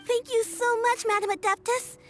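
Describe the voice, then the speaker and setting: A small sweet girl, video game. Very high voice, earnest, polite, slightly hesitant delivery. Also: steady tone, moderate pace, faint music behind. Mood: earnest, hopeful.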